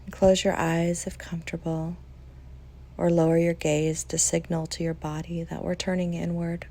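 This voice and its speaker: Female meditation guide: mellow, relaxed, slightly low voice, gentle and soothing delivery with unhurried pauses. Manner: soft, soothing, with long pauses.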